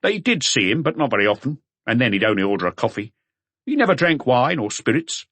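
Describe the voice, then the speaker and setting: A man's voice: mid-range voice, sing-song, a little fast, a bit bright, no room sound, voice pushed up. A man voicing a junior police detective in an audiobook, reporting details in a matter-of-fact way. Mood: dutiful reporting.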